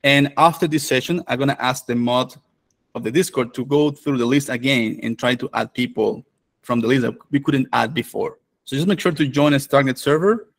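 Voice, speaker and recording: A man's voice: medium pitch, moderate pace, gravelly. Male host, 30s, video-call mic, casual and chatty. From Venezuela. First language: Spanish. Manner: relaxed, many fillers and restarts. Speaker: developer advocate and course host. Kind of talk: live online course introduction.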